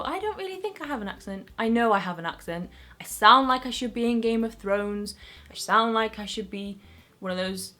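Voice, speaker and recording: A woman's voice: medium pitch, a bit bright. A young woman with a Northern English accent, chatting casually to camera as a beauty vlogger in a rambling, self-mocking way.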